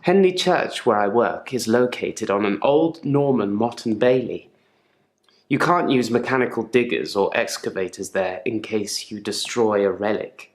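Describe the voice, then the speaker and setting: A man's voice: fairly low pitch, moderate pace, close to an everyday voice. A man playing a troubled gravedigger in therapy for a video game, speaking in a guarded, dryly sardonic, articulate way. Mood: matter-of-fact, musing. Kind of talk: naturalistic acted monologue in therapy session. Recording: echoey room.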